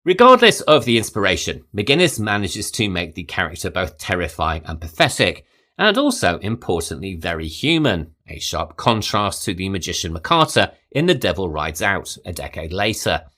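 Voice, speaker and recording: A man with a very low yet bright-edged voice delivers scripted film commentary. His delivery is measured and articulate, with a lively rise and fall in pitch that lends emphasis to each point. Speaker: podcast host.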